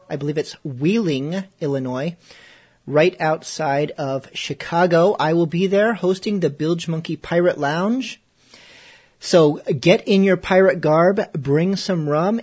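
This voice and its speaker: A man's voice: on the high side, breathy edge. A man hosting a podcast, talking in a chatty, jokey way and interviewing a guest. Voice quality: slightly stuffy. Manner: chatty, jokey, self-deprecating. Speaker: podcast host. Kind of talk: casual podcast talk and interviewing.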